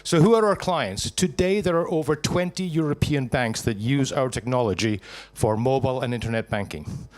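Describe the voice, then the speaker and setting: A Scottish man giving a live startup pitch to an audience, jokey and punchy, asking and answering his own questions. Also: normal speed, few pauses. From Scotland.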